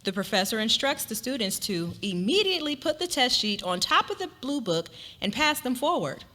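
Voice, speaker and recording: A woman narrates a story in a dramatic reading. Her voice sits somewhat high and is bright and forceful, with lively, wide-moving intonation. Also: moderate pace.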